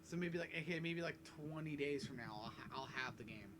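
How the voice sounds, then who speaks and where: A man's voice: fairly high pitch, quick pace, very noisy. A man giving casual, rambling let's-play commentary, swearing and mocking himself, between coughing fits at his desk mic. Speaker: gaming YouTuber.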